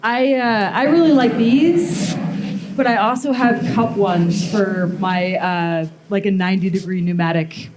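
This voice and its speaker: A woman demonstrates metalwork in a casual, informal way, with a medium-pitched voice. She explains each step haltingly, thinking out loud as she works. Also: slight background noise, low-quality recording. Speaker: metalworking artist giving a demonstration. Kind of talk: casual live workshop demo, explaining steps. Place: live blacksmithing demonstration before onlookers.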